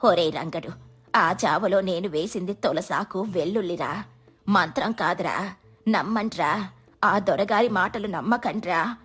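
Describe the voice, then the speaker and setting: Storybook old healer woman, female narrator acting, motherly, pleading, slightly rough-edged voice over light music. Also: on the low side, measured pace, fairly bright tone. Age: older. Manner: motherly, pleading, addresses villagers as children. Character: kindly, scolding old village woman healer.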